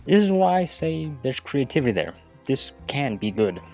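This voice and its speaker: A man's voice: mid-range voice, very animated, normal speed, creaky, darkish tone. A man narrating a video essay in a casual, informal way, with wry, sweary asides. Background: background music.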